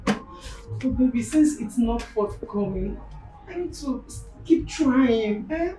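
Film drama, troubled Nigerian wife. Hesitant, pleading, echoey sound with faint music. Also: female voice, on the high side, lively intonation, takes it slowly, mellow. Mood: anxious pleading. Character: troubled, anxious wife seeking reassurance. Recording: echoey room, distant mic, faint music.

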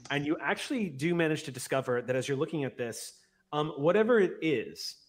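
A man's voice: fairly high pitch, lively intonation, a little fast. A man acting as game master, narrating and explaining a tabletop game in an explanatory way. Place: indoors at home on a video call. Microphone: large desk microphone close by. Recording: clear background noise on video call.

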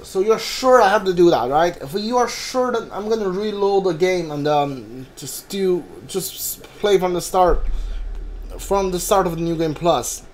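A man's voice: high voice, a little slow, slight background noise. A man doing casual live game commentary, talking to his chat in a hesitant, repetitive, rambling way.

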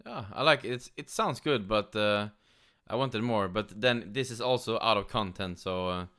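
A man from Sweden with a Swedish accent, giving casual, somewhat halting gaming news commentary. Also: on the low side, pushed and bright. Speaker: gaming YouTuber. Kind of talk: casual news commentary, partly reading aloud.

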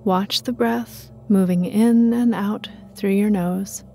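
A woman guiding a meditation in a calm, soothing and measured manner. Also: medium pitch, gravelly, soft background music. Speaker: meditation guide. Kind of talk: guided meditation narration.

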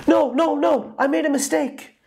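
A man voicing a sleepy, easily flustered male schoolteacher in a storybook, pitched up from his own voice. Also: fairly even intonation, moderate pace, creaky, a little mellow. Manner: drowsy or flustered, stretched words.

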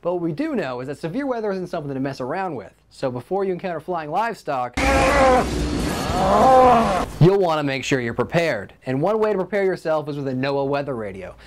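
A man's voice: on the high side, big pitch swings, a little fast. A man in his twenties presenting as a host with scripted, jokey narration. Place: small indoor set. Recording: clear background noise.

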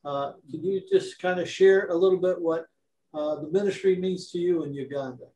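A man's voice: high-pitched, moderate pace, a little hiss or hum. Older man interviewer in a roomy space. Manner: gentle, affirming with frequent amens. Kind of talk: interview on a video call. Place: living room at home. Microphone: video call webcam. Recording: roomy echoing webcam audio.

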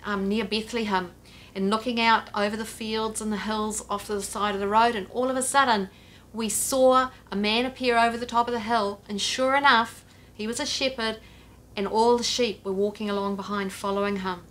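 A woman's voice: mid-range voice, fairly even intonation, normal speed, a bit bright. A middle-aged woman pastor giving an earnest, direct sermon to camera from her living room, gesturing as she speaks. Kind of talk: home church sermon to camera. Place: living room, seated on a sofa. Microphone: camera at a few feet, head and shoulders framing.

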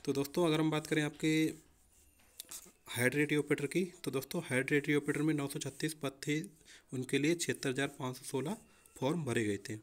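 A man's voice: fairly high pitch, fairly even intonation, a little fast. A young man explaining exam preparation informally as a coaching teacher, often addressing listeners as friends.

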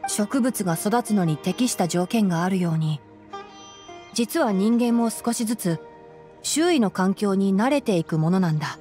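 Woman voicing a calm young male scholar. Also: mid-range voice, music in the background, close to an everyday voice. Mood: explaining. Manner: measured, explanatory, soft-spoken. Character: gentle young male forest ranger scholar, calm and analytical. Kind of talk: Japanese anime game voice acting.

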